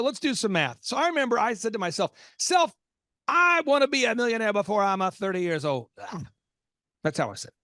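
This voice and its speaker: A man hosting a business podcast, talking with preachy energy, repeating lines and jumping into sudden shouts. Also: very high voice, gravelly, no echo, clean studio sound. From Oklahoma. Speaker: podcast host.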